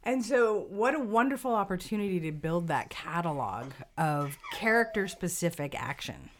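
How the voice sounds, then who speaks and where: A woman's voice: lowish voice, moderate pace. A woman co-hosting a casual conversation, thinking aloud and posing questions. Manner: thoughtful, poses questions, lively emphasis. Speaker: podcast co-host.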